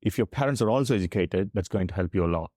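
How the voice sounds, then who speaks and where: Low-pitched South Indian man, dry close sound. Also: lively intonation, fast talker, a little mellow, no room sound. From Tamil Nadu, South India. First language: Tamil. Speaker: podcast guest. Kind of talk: candid explanatory conversation.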